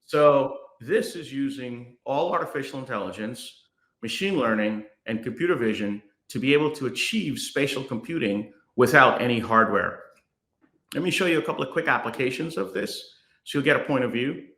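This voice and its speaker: Guy from guyana doing a keynote over a video call, bright voice, roomy echo, lots of uhs. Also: mid-range voice, lively intonation. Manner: measured, with frequent fillers and restarts. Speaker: keynote speaker. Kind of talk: remote keynote presentation with demo. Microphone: computer mic on video call.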